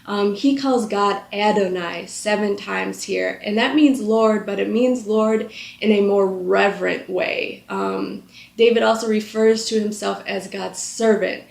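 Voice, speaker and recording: A woman in her thirties teaches scripture from a couch indoors, her voice a mid-pitched one with a gravelly fry running through it. She talks earnestly and casually, pausing on fillers, and the room rings around her. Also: steady tone, darkish tone. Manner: earnest, conversational, with frequent "um" fillers. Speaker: Bible study host. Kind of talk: scripture reading and devotional teaching. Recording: echoey room.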